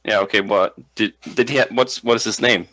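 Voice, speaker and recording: Bright-voiced man thinking aloud in a game. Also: lowish voice, fairly even intonation, brisk, dry recording. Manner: hesitant, repeating words while thinking aloud. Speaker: tabletop game player. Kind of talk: casual tabletop roleplay chat.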